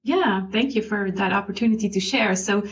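A woman's voice: medium pitch, normal speed. A Swiss woman in her forties speaks English with a North American accent. She answers questions in a friendly, chatty way, over a dull, lo-fi remote call line. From Switzerland. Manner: friendly, chatty, laughs easily. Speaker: guest expert. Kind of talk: interview answers.

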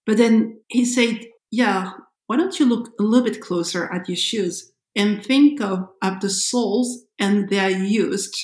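A woman from France co-hosts a podcast in a casual, conversational style. Her voice sits at a medium pitch. The recording is roomy, with audible echo around her words. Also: unhurried, a little mellow. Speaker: podcast co-host.

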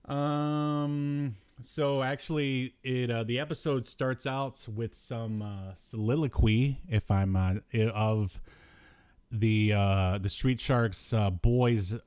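A man's voice: mid-range voice, slow pace, a little mellow, clean tone. A man co-hosting a podcast, chatting casually about cartoons in a rambling way with bits of slangy talk. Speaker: podcast co-host.

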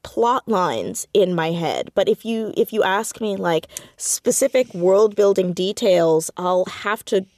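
A woman speaking as a creative guest in a casual interview, animated and self-deprecating, often laughing as she talks. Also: mid-range voice, takes it slowly, hardly any pauses, dry recording. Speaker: podcast guest, audio drama creator and writer.

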